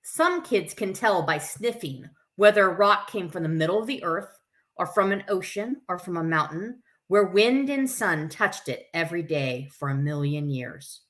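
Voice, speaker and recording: Lady with a bright clear-cut voice doing story time, reads expressively then chats off the cuff. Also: on the low side, moderate pace. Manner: expressive, informal, breaks off for asides to listeners. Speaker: camp staff story time host. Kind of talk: live picture-book read-aloud with chatty asides.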